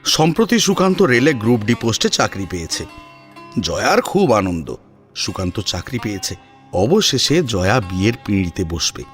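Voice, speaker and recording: A man's voice: fairly low pitch, very animated, moderate pace, fairly bright tone, faint music behind. A man narrating a scripted family story as a dramatic storyteller.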